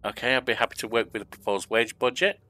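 A man's voice: mid-range voice, steady tone, fast, pushed and bright, no room sound. An older man from England narrating a management video game, reading the questions aloud and answering them himself. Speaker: gaming YouTuber. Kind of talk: casual let's-play commentary. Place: home room at a desk.

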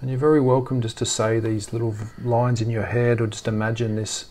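A man leading a guided meditation in a soft, soothing, gently reassuring way. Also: mid-range voice, level tone, a little fast.

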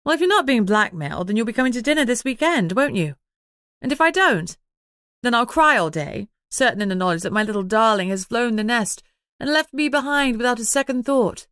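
A woman's voice: on the high side, animated, a little fast, a bit bright, dry recording, clean studio sound, voice pushed up. A woman voicing a protective middle-aged mother in an audiobook, matter-of-fact and fussing. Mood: playfully guilt-tripping.

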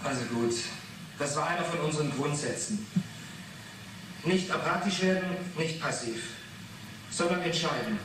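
An actor as testy witness in echoing room. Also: male voice, a little high, moderate pace, pauses often, natural voice. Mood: defensive, earnest. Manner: evasive, explanatory, testy. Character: defensive, irritable male club member under questioning. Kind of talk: 1980s German radio play acting. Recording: very echoey, noisy, distant radio drama.

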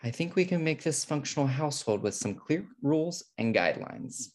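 A man's voice: a little high, expressive, a little fast. An American man playing a fussy, controlling twin brother in a sitcom table read. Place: indoor room, on a video call. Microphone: video call microphone.

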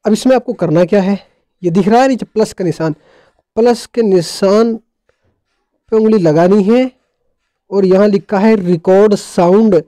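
A man's voice: high voice, a little slow, long pauses, mellow, no echo, studio quality. A man narrating a casual how-to tech tutorial in a playful, jokey, colloquial way.

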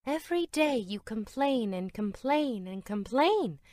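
Woman voicing an earnest, animated storybook lady cat. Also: fairly high pitch, measured pace, no room sound, pitched above the performer's own voice. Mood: exasperated, earnest. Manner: earnest, pleading. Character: cheerful female pirate ship cat, earnestly coaxing. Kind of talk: children's storybook read-aloud.

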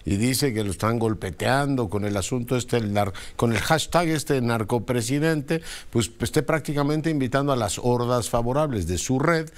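A man's voice: mid-range voice, moderate pace, few pauses. A middle-aged Mexican man, a news anchor, hosting an interview in a TV studio and prompting his guest conversationally.

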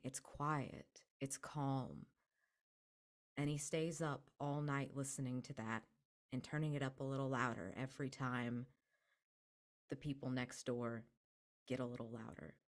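A young adult woman improvising a present-tense story for a role-playing game, in halting, measured phrases, close to a desk microphone. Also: extremely low pitch. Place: indoor room at home, video call.

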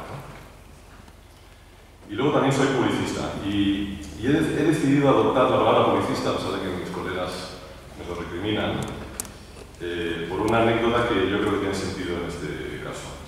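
Middle-aged male panel speaker, low pitch, halting and self-deprecating, recorded far off in a hall. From Barcelona, Spain. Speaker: advertising creative, panel speaker. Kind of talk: informal public talk at a panel. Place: large formal hall, seated at panel table. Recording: echoey hall, distant mic, some noise.

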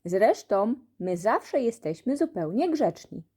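A woman reading a children's storybook aloud as an expressive audiobook narrator. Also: mid-range voice, slow pace, husky. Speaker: audiobook reader.

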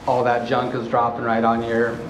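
A man in his thirties talks through his boat refit in a relaxed, plainspoken way, often pausing with ums. His voice bounces around an echoey hull, and faint noise sits underneath. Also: medium pitch. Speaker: boat owner showing a refit. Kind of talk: casual walkthrough video. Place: inside a fiberglass boat hold and on deck.

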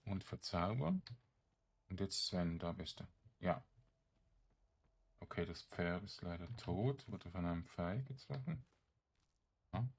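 A man giving casual gameplay commentary, thinking aloud in short, halting phrases. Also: low voice, lively intonation, husky. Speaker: let's play streamer.

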